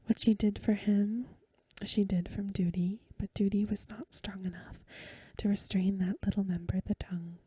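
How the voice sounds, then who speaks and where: A woman reading a story aloud as soft-spoken ASMR, in a gentle, hushed, whispery voice close to the microphone. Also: medium pitch, fairly even intonation, moderate pace, few pauses, husky, no room sound. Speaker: ASMR host.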